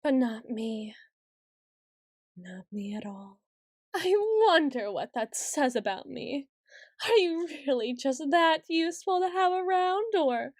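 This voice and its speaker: A woman's voice: sits high, animated, takes it slowly, no echo, clean studio sound, heavily acted. A woman playing a haughty, petulant ancient female vampire in a scripted audio roleplay, speaking in a theatrical, imperious and archly formal way. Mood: brooding, haughty. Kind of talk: scripted ASMR roleplay monologue.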